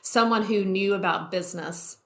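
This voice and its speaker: Woman, 40s, vocal coach, chatty, laughing, mid pitch, roomy echo. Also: normal speed. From Taiwan. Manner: warm, chatty, laughs often, many restarts. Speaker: vocal coach, podcast guest.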